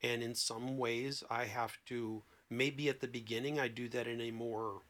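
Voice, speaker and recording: A man who works as a therapist, talking conversationally as a podcast guest and telling anecdotes in an expressive, emphatic way. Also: mid-range voice, moderate pace. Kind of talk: conversational explanation with anecdotes and acted-out quotes.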